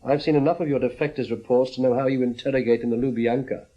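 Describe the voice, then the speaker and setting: A man's voice: mid-range voice, steady tone, quick pace, lightly acted. Muffled, defiant actor as male intelligence officer. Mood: dryly defiant. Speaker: actor in a radio drama. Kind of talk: radio drama acting.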